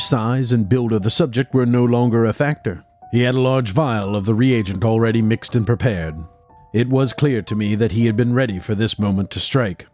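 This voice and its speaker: An anxious, well-spoken gentleman, acted by a man with a medium-pitched voice. He speaks formally, as in a scripted horror drama. Soft music runs behind him. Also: natural voice. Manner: formal, measured. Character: anxious, well-spoken male assistant telling a horror tale. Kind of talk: scripted audio drama narration.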